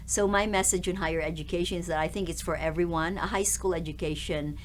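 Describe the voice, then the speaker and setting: Middle-aged woman, low voice, careful articulate answers, slight background noise. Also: fairly even intonation. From Philippines. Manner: measured, articulate, with fillers. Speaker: university president. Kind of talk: interview answers.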